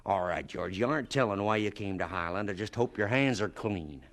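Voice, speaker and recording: An actor playing a gruff but kindly farmer and boss in a radio drama, blunt, folksy and emphatic. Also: male voice, on the low side, brisk, fairly bright tone. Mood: gruff, suspicious.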